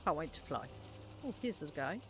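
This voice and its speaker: A woman's voice: deep voice, sing-song, moderate pace, noisy background. A woman chatting casually and playfully as she plays on a gaming live stream. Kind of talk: casual live stream chat while playing. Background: faint music.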